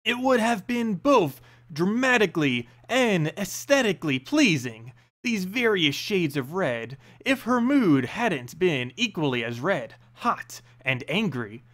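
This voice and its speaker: A man's voice: high voice, sing-song, unhurried, fairly bright tone. A man reading a fantasy story aloud as a narrator, with a dramatic storytelling delivery.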